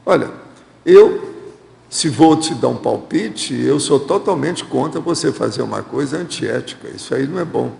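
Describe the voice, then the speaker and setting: Brazilian male host, gravelly voice, direct advising tone, echoey hall sound. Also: medium pitch, animated, moderate pace, darkish tone. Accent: Brazilian Portuguese. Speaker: host reading viewer questions. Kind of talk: studio Q&A, answering questions.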